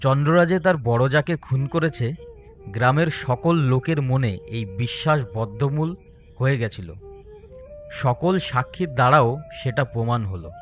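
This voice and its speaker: A man's voice: mid-range voice, animated, measured pace, muffled recording. A man narrating a scripted story as a storyteller.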